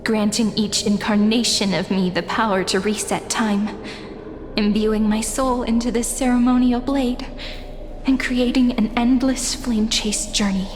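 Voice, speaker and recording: A playful young heroine, voiced by a woman, talks in a slightly high, unhurried voice. She teases softly and stays gentle even when her words turn serious. A long reverb and faint music sit behind her. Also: a little hiss or hum, close to an everyday voice. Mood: calm. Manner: teasing and gentle. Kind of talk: game cutscene voice acting.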